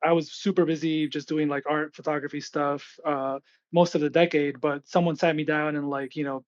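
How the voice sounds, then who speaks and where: A man's voice: a little high, flat delivery, normal speed, no room sound, dull audio. A man from Venezuela giving casual, informal interview answers as a guest, explaining things with frequent filler words. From born and raised in Venezuela, grew up in United States. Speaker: guest, artist collective founder.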